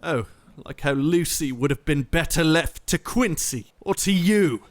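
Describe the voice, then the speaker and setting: An actor playing a bitter, grieving young gentleman in an audio drama, with cutting speech. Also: fairly high pitch, expressive, measured pace, no echo.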